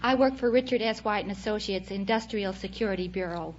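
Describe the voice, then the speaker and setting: A woman's voice: medium pitch, a little fast. A young American woman answering a host's questions as a quiz show contestant. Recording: muffled vintage broadcast, noisy studio audience.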